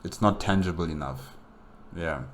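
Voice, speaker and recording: A man's voice: low-pitched, normal speed, very noisy. A man giving casual solo reaction commentary in a hesitant, musing way, often repeating himself. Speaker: reaction video host.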